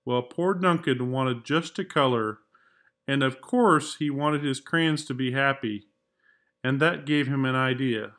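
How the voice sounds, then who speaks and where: A man narrates as a teacher reading aloud for children. His delivery is slow, in a scripted storybook style. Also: medium pitch, fairly bright tone.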